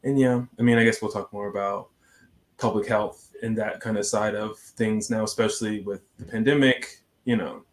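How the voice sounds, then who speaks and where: A man's voice: on the low side, steady tone, normal speed. A man speaking casually but professionally as a physician introducing himself on a panel.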